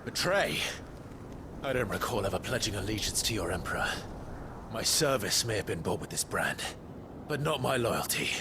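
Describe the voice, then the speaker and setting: An actor playing a defiant young male soldier, quiet and stubborn, in a video game. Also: on the low side, sing-song, moderate pace, background noise. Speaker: branded soldier.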